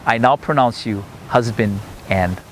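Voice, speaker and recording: A man officiating an outdoor wedding, speaking in a measured, solemn way; his first language is Hawaiian. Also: medium pitch, very noisy. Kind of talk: wedding ceremony address and prayer.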